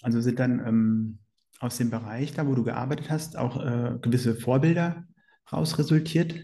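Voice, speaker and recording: A man's voice: medium pitch, fairly even intonation, moderate pace, mellow. A man interviewing a guest, asking long, hesitant questions in a casual tone.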